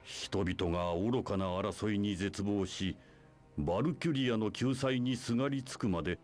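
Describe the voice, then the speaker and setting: A man's voice: lowish voice, a little fast, a little mellow, faint music behind, lightly acted. A man playing an imperious, scheming church leader in a video game, speaking in pompous, archaic formal phrases. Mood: grandiose, scornful. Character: imperious, scheming male church leader preaching his doctrine. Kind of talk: Japanese video game voice acting.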